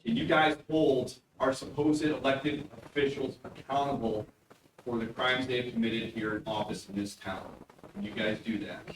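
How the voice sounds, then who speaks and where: Loud man asking questions from afar. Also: mid-range voice, fairly even intonation, moderate pace. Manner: loud, pointed. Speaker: audience member and local candidate. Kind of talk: public question. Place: public meeting hall. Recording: distant, echoey, noisy room.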